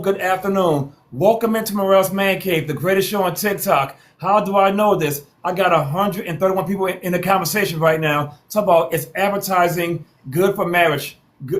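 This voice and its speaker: A man in his forties hosting a live-stream call-in talk show, brisk and quick to cut callers off to steer them. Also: sits high. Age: 40s. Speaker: host.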